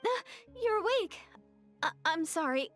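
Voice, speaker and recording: A woman voicing a gentle, earnest young lady traveler in an anime-style game, polite and a little hesitant. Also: very high voice, big pitch swings, a little slow, soft background music, exaggerated voice. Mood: apologetic, soothing.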